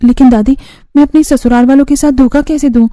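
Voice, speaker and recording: A woman's voice: sits high, steady tone, a little fast, warm tone, close to an everyday voice. A woman playing a timid, grateful young bride in a cartoon family story. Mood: hesitant. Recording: music bed under the voice.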